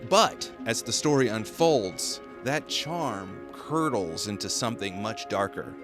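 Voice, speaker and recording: A man's voice: fairly low pitch, lively intonation, slow pace, music in the background. A man delivering scripted video-essay commentary with casual asides.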